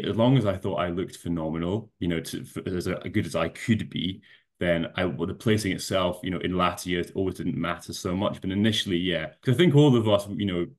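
A man from Scotland, a guest on a podcast, talking casually about competing and leaning heavily on "you know" and restarts. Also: low-pitched. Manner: rambling, many fillers like "you know". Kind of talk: casual conversational interview.

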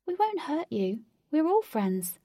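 A woman's voice: sits high, sing-song, unhurried, dark tone, no room sound, clean studio sound, pitched above the performer's own voice, full character voice. A woman doing a kind encouraging young heroine.

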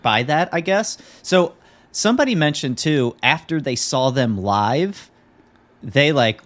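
A man's voice: fairly high pitch, expressive, measured pace, fairly bright tone. Casual male podcast host.

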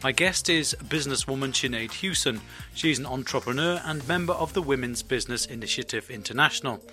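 A man's voice: medium pitch, brisk, pushed and bright, faint music behind. A man hosting a podcast, introducing his guest and asking hesitant, friendly questions with fillers. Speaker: podcast host.

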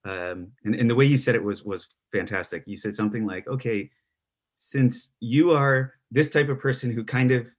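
A man hosting an interview in a conversational way, rambling with many fillers and restarts and trailing off quietly. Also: medium pitch, moderate pace. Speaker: podcast host.

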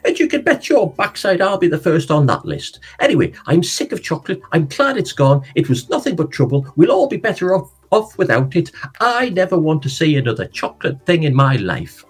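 A man voicing a frazzled, fed-up mum who rants in quick bursts. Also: fairly high pitch, huge pitch swings, husky, soft background music, voice pushed up, full character voice. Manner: exasperated, rapid rants.

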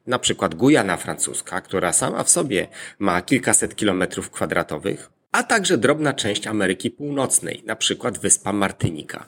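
A man presenting a scripted explanatory monologue in a measured, formal manner, setting out arguments point by point. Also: fairly low pitch, fairly bright tone, a little hiss or hum.

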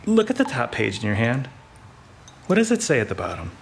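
A man's voice: mid-range voice, wildly swooping pitch, a little fast. A man acting a put-upon detective's sidekick who turns coolly menacing, with a theatrical, sardonic delivery, in a hard-boiled comic audio drama. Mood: smug, menacing. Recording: clear background noise with clicks.

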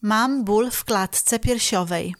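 A woman reading short phrases plainly and clearly for a language course. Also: mid-range voice, slow pace, dry recording, clean studio sound. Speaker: Polish phrase reader.

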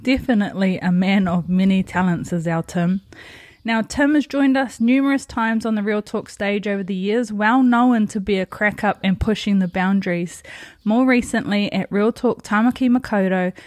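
A woman with a New Zealand accent reading a scripted podcast introduction as host, measured and earnest. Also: medium pitch, fairly even intonation, darkish tone, no echo, studio quality. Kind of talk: scripted narration.

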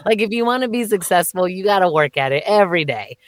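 A deep-voiced woman in her thirties talks casually as an interview guest, laughing and doubling back on her words. Her voice sits low and close, with a dry, dead sound around it. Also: lively intonation, quick pace, no echo. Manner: chatty, laughing, many fillers and restarts. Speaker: voice actor guest. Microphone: remote call.

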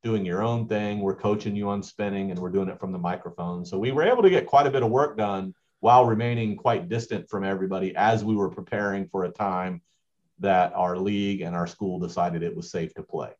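A man's voice: deep voice, brisk, a little mellow. A man, a school basketball coach, answering interview questions in a rambling way with many restarts. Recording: dull lo-fi audio.

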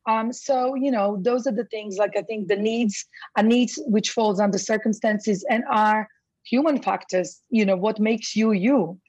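A woman's voice: medium pitch, a little slow, a little mellow, husky, no room sound, low-quality recording. Chatty woman from Croatia, dry sound. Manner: thoughtful, many fillers like "you know". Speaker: guest, scam expert. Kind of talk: casual interview talk.

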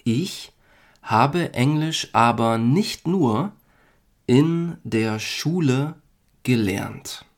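A man from Germany hosting a podcast, reading a lesson for learners in slow, deliberate, clearly spaced phrases. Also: mid-range voice, expressive. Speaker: podcast host teaching German.

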